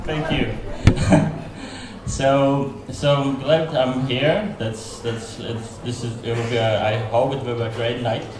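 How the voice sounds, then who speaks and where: A man with a Slovak accent introducing himself on a panel, joking and halting with restarts. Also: mid-range voice, level tone. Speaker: panelist journalist. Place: conference hall. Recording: echoey hall, audible noise.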